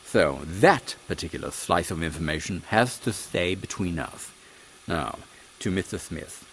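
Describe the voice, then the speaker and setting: Man playing a deep-voiced, proper British captain. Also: poor audio. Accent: British English. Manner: measured, formal, authoritative. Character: composed, proper English captain of Victorian secret agents. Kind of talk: comic audio drama acting. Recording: faint background noise.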